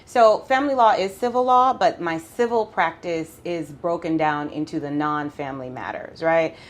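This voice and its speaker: A woman with a Jamaican accent, an attorney explaining law in a casual interview, talking quickly and laughing often. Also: low-pitched, a little hiss or hum. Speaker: family law attorney, podcast guest.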